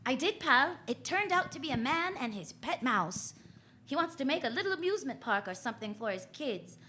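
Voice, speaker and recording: A woman's voice: high voice, big pitch swings, brisk, bright, forceful tone, a little hiss or hum, heavily acted. A woman playing a wry, flirtatious private detective who narrates her case in a vintage radio drama. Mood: breezy, teasing. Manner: wry, hard-boiled storytelling. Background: music.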